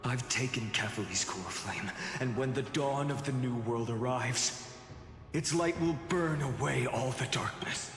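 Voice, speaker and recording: Solemn, unhurried young hero. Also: male voice, medium pitch, a bit bright. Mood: defiant resolve. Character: solemn, resolute young male fantasy hero. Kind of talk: dramatic video game voice acting. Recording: reverberant hall effect over music.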